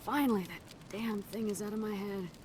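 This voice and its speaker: A woman's voice: medium pitch, normal speed, a little mellow, a little hiss or hum, close to an everyday voice. An actress playing a practical female colonist technician in a video game. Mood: relieved. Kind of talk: video game dramatic acting.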